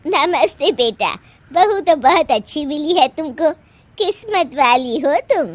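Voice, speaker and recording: A woman's voice: sits high, expressive, unhurried, dry recording, voice pushed up. A woman voicing a chatty middle-aged auntie, effusive and exclaiming, in a comic story. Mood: admiring. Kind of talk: comic Hindi moral-story dramatization.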